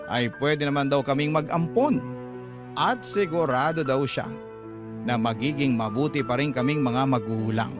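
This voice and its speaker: A candid letter writer telling a personal love story. The voice is fairly high and measured, a confessional radio drama narration over clear background music. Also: male voice.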